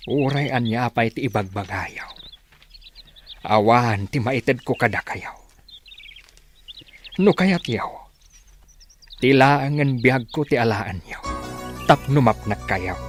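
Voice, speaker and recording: A man's voice: mid-range voice, lively intonation, slow pace, long pauses, faint music behind. A man playing a poor, humble village father with an Ilocano accent, pleading yet firm to protect his child in a radio drama. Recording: noisy voice recording.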